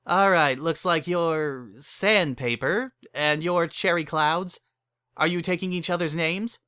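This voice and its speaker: A man voicing a tipsy, breezy middle-aged pony mare who officiates weddings with brisk, casual patter in a dramatic story reading. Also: fairly high pitch, pushed and bright, clean tone, dry recording, voice pushed up. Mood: casual officiating.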